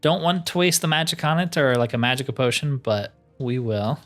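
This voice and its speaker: A man's voice: medium pitch, animated, fairly quick, a bit bright. Casual wry man over game music. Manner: wry, thinking aloud. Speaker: gaming video host. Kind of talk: casual solo video game playthrough commentary.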